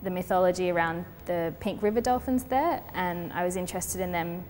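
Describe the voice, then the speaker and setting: Young woman, lowish voice, thoughtful artist talk, gallery echo and light noise. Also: a little fast. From Colombia. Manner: thoughtful, steady, with frequent fillers. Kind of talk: explaining her artwork in an interview. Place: gallery space. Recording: long reverb with faint background noise.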